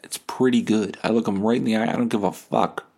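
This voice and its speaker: A man's voice: lowish voice, fairly even intonation, brisk, darkish tone. A young man hosting a casual, rambling solo podcast in a profane, slangy, self-deprecating way. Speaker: podcast host.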